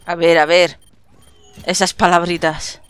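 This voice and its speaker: A woman with an accent from Spain chatting excitedly while playing a shooter game with a friend, laughing and swearing often. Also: lowish voice, a little slow, clean tone, no room sound. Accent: Spanish from Spain. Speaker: gaming channel host. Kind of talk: casual gameplay chat with a friend.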